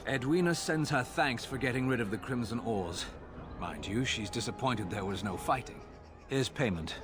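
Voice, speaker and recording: An actor playing a brisk, weary city guard sergeant in a video game, with a dry, matter-of-fact manner. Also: male voice, mid-range voice, expressive, lightly acted. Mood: businesslike. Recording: game audio with music.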